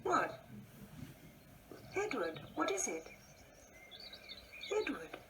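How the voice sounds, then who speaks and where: An actress playing a prim, patient but exasperated older wife in a radio play, chiding and full of questions. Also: female voice, mid-range voice, sing-song, drawn-out words, a bit bright, a little hiss or hum, full character voice. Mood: puzzled, placid.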